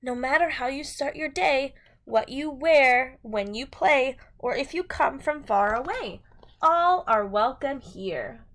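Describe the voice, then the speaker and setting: A woman's voice: sits high, animated, slow, fairly bright tone. A woman teacher doing a read-aloud storytime for young children in a sing-song manner.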